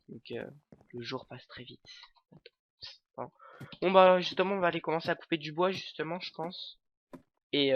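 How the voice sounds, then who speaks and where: A man with a stuffy, congested nose narrates his game in loose, hesitant commentary, leaning on fillers as he goes. His voice sits at an ordinary middle pitch. The recording is dull and lo-fi, with a faint hiss underneath. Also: fairly bright tone. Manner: hesitant, filler-heavy rambling. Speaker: let's play host. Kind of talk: casual gameplay commentary.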